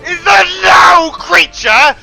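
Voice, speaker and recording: A man voicing a tyrannical ancient dark dragon lord with a booming, theatrical menace. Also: slow, creaky, over loud music, exaggerated voice, poor audio. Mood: menacing.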